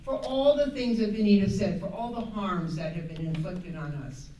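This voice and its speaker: An older American woman giving an earnest, emphatic, unscripted advocacy talk as a panel speaker, often halting and rephrasing as she goes. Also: medium pitch, mellow. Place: echoey indoor room at a public panel event. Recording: echoey room, distant mic, background noise.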